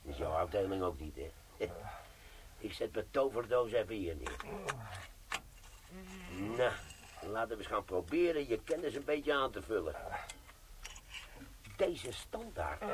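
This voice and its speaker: An actor playing a gruff veteran bomb disposal sergeant who swears and lectures in a radio play. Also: male voice, fairly low pitch, slight background noise.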